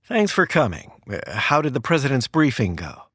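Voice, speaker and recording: A man's voice: mid-range voice, huge pitch swings, normal speed, over music. A man playing a flustered, exasperated married political aide in a comedic audio drama, speaking hesitantly with sighs. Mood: weary, glum.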